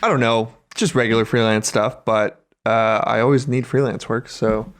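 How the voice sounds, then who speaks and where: A man's voice: medium pitch, fairly even intonation, moderate pace. A man co-hosting a podcast in casual comedic chat, slangy and profane. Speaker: podcast co-host.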